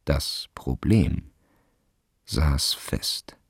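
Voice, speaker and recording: A man narrating a literary radio play in a measured, brooding, deliberate way, over music. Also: a bass voice, expressive, gravelly, husky, no echo, clean studio sound. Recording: music behind the voice. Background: string music.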